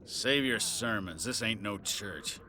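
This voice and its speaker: A man's voice: lowish voice, expressive, takes it slowly, heavy background noise. An actor playing a disgraced middle-aged policeman in a video game, boozy, sardonic and sneering. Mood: resentful.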